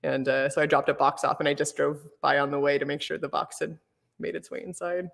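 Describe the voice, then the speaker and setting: A woman with a low voice talks in a loose, rambling way, packing her sentences with "like" and "you know." Her voice trails in a long reverb, as if she were in a big echoing space. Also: steady tone, a little fast, creaky. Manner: rambling, filler-heavy, laughs often. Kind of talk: casual chat.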